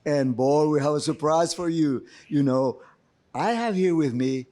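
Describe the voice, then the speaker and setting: A man's voice: a little high, a little slow, dark tone. Effusive man hosting an interview show. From El Salvador. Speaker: show host.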